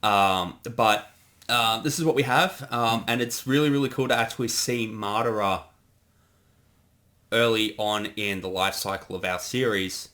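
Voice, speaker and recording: Male co-creator on commentary, lowish voice, explains as he goes. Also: pushed and bright. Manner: explanatory, thinking aloud with pauses. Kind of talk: casual commentary chat.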